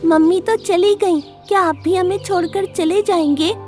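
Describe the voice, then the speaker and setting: A woman's voice: very high-pitched, a little slow, darkish tone, faint music behind, voice pushed up. A woman voicing a timid, innocent small bird, pleading, in a children's cartoon. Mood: sad, worried. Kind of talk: Hindi children's cartoon story acting.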